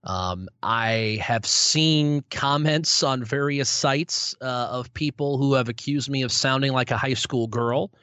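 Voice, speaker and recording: A man's voice: medium pitch, measured pace, hardly any pauses, bright, forceful tone, dry recording. A young man, a sports play-by-play broadcaster, answering interview questions in a good-humored, self-deprecating way with many restarts. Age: young adult. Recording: dull lo-fi sound.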